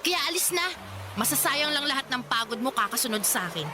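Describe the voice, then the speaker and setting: A woman's voice: sits high, expressive, fairly quick, background noise. A woman playing a blunt, dismissive teenage schoolgirl in a radio drama, with curt, exasperated delivery. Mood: exasperated, rejecting. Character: blunt, irritable teenage schoolgirl brushing off her suitor. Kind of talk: Tagalog radio drama acting.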